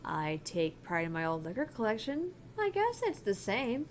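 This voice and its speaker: A woman voicing a dry, skeptical young female bartender in a video game, reserved and deadpan. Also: mid-range voice, normal speed. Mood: deadpan, puzzled. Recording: clear background noise.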